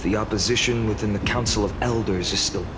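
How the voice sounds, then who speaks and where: A man's voice: fairly low pitch, steady tone, brisk, close to an everyday voice. A man voicing a stern, righteous young warrior lord in a fantasy game, firm, controlled and formal. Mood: grim resolve. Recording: loud ambient noise behind.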